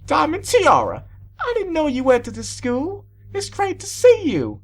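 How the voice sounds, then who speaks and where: A woman puts on a gracious mare music teacher for a storybook tale. The voice is high and singsong, with lively swoops. It sounds encouraging and kindly. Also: measured pace, a little hiss or hum, full character voice. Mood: delighted.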